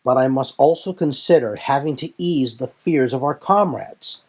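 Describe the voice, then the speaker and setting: A man voicing a solemn, resolute warrior leader in a fan audio drama, speaking in formal, earnest, deliberate phrases. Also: medium pitch, expressive, voice pushed up. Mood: earnest, apologetic.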